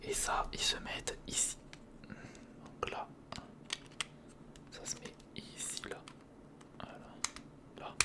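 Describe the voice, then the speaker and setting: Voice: slow, pauses often. An ASMR creator whispering informal, step-by-step commentary to the viewer while building something by hand, close to the microphone. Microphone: close recorder or studio microphone.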